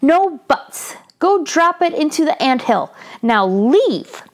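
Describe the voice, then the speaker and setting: A woman's voice: sits high, very animated, slow pace, voice pushed up, exaggerated voice. A woman voicing a bossy old village grandmother who scolds sharply and hurriedly in a children's storybook. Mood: scolding.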